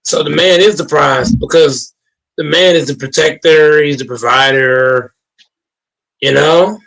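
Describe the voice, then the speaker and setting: A man's voice: a little high, a little slow, vocal fry, a bit bright, rough recording. A man guest in a livestream debate, talking earnestly and repeating himself.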